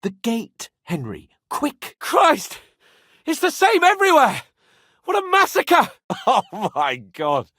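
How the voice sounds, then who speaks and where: A man playing a spoiled, cocky young nobleman in a video game, flippant and theatrical, swinging between whiny and wry, with a husky voice. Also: very high-pitched, wildly swooping pitch, takes it slowly, a bit bright, no room sound, studio quality, full character voice. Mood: urgent, exasperated. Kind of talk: video game character voice acting.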